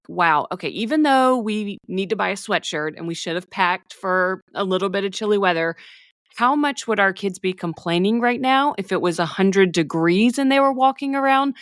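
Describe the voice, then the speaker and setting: A woman's voice: mid-range voice, dry recording, clean studio sound. A woman co-hosting a podcast who chats casually and shares her own stories. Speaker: podcast co-host. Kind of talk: casual interview chat.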